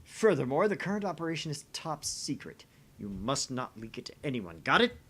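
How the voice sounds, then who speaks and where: A man's voice: medium pitch, very animated, brisk, fairly bright tone, slightly rough. Man acting as bombastic, swooping young mad scientist. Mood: grandiose. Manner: bombastic, grandiose proclamations. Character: pompous, theatrical young self-proclaimed mad scientist. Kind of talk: amateur visual novel voice acting.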